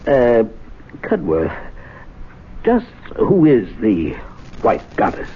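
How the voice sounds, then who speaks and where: An actor playing a timid, anxious gentleman of a men's club who talks hesitantly, full of uhs. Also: medium pitch, huge pitch swings, extremely slow, a little hiss or hum, full character voice. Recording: tinny 1970s radio broadcast.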